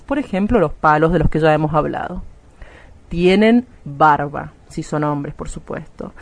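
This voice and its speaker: A man's voice: high voice, no echo. A man with an Argentine accent playing a smug, prejudiced radio commentator who lectures primly with fussy asides in a mock-serious drama. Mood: didactic, cautioning. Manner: prim, didactic, fussy asides. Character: smug prejudiced man solemnly warning listeners about picketers.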